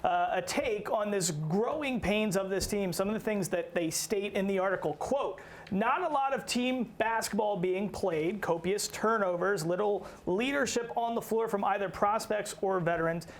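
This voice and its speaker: A woman's voice: mid-range voice, normal speed, a little hiss or hum. A woman presenting a sports talk show as host, introducing segments and putting questions to her colleagues.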